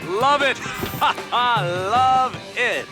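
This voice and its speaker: Flashy high-voiced race announcer. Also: male voice, lively intonation, slow pace, music in the background, exaggerated voice. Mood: gushing. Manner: showy, theatrical showman delivery. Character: flashy, slick male racing TV announcer. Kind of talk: video game cutscene acting.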